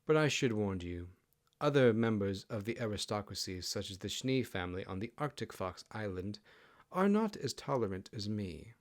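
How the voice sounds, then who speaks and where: A proud, imperious kitsune queen, read by a man with a fairly low voice. She speaks formally and haughtily, a huffy, greedy royal from a fantasy audiobook. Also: big pitch swings, normal speed. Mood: stern, huffy.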